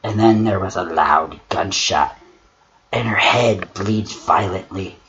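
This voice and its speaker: Solemn male horror-story servant, put on by a man, low and slow, muffled noisy recording. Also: crowd noise. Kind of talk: dramatic horror reading and measured critique. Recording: muffled lo-fi mic with clear noise.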